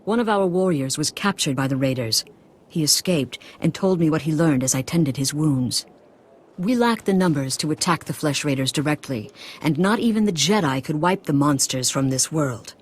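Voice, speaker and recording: Solemn, higher-pitched village healer. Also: male voice, animated, moderate pace, slightly rough. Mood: solemn urgency. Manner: formal, courteous, ominous. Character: solemn, humble village healer-priest warning of evil. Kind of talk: video game dialogue acting.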